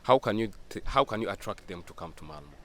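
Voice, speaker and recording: A man with a fairly low voice hosts a casual interview for his own channel. He talks eagerly, welcoming his viewers and echoing his guest's words back to her. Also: animated, fast talker, vocal fry, sharp tone. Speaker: YouTube channel host, interviewer.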